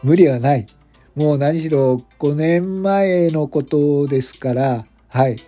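A man's voice: a little high, slow, mellow. A man voicing a young, friendly, unpretentious company president in an amateur radio drama. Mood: nostalgic. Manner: chatty, polite, unpretentious. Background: background music.

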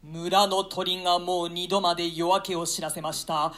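A man's voice: sits high, little pitch movement, normal speed, a bit bright. An actor playing a deferential servant to a king, speaking in humble, polite formal phrases in a radio-play reading.

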